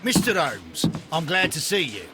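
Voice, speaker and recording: Lively, smug police inspector. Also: male voice, a little high, moderate pace, over loud music. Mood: smug, eager. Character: smug, eager male Scotland Yard police inspector. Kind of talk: scripted video game dialogue. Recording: clearly audible background ambience.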